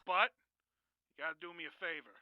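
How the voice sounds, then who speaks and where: An actor playing a wheedling agency boss in a radio drama, gravelly, casual and hedging as he talks. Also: a little high, fairly even intonation, a little fast, no room sound, muffled recording, close to an everyday voice. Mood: brusque, bargaining. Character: evasive, wheedling male boss of a care agency. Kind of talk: naturalistic radio drama acting.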